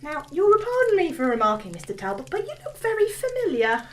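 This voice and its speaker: A woman's voice: high-pitched, very animated, a little fast. A woman playing a motherly, pushy village pub landlady with an English accent in a comic audio drama. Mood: nosy, shocked. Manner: chatty, doting, insistent, folksy.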